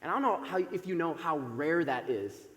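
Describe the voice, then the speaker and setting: Chatty thirty-something pastor in an echoey sanctuary. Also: male voice, on the high side, animated, fairly quick. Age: 30s. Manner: loud, warm and chatty with many fillers. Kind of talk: sermon. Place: church sanctuary. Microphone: microphone over a PA system. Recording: echoey church hall with long reverb.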